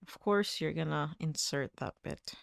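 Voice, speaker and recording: A woman co-hosting a casual podcast, giggling often, cautious and prone to trailing off into quiet. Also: low-pitched, normal speed, vocal fry, no echo, studio quality. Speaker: podcast co-host. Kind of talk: casual chat.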